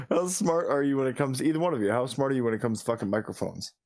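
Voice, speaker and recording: Blunt joking man, mid-pitched. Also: expressive, fast talker, darkish tone. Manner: joking, blunt, swears often. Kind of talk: casual chat.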